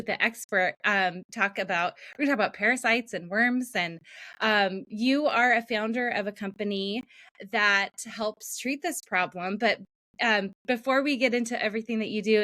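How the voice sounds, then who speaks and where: A woman's voice: a little high, sharp tone. A woman podcast host, friendly and upbeat, introducing her guest with casual fillers. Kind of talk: casual interview chat.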